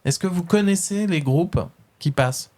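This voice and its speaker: A man's voice: high-pitched, normal speed, darkish tone. A man with a French accent hosting a casual webradio interview, hesitant and full of fillers but friendly.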